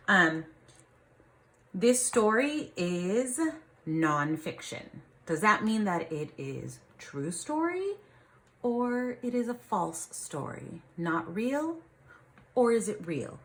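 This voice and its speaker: A woman's voice: on the low side, fairly bright tone. A woman teacher reading a picture book aloud to children, stopping to ask questions and explain things in simple words. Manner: instructive, pausing to question and explain simply to young listeners.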